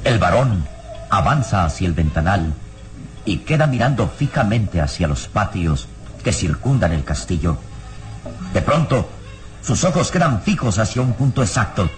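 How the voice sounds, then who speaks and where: An actor as the solemn, suspenseful narrator of an old radio serial, delivering scripted dramatic narration. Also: male voice, fairly low pitch, very animated, moderate pace. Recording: old radio serial over loud music.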